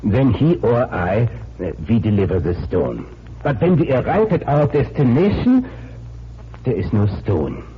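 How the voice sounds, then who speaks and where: An actor playing a troubled, courteous immigrant diamond cutter with a continental European accent and hesitant, repetitive phrasing, in 1940s radio style. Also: male voice, medium pitch, very animated, unhurried. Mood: anxious, bewildered. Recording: dull, noisy 1940s radio recording.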